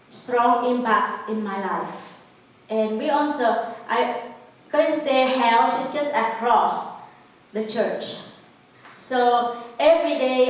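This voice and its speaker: A Vietnamese-born woman, a religious sister, sharing her faith story in halting English with a Vietnamese accent and many restarts. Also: fairly high pitch. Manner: halting, frequent restarts and fillers. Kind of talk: personal faith testimony. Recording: echoey hall, mic far off.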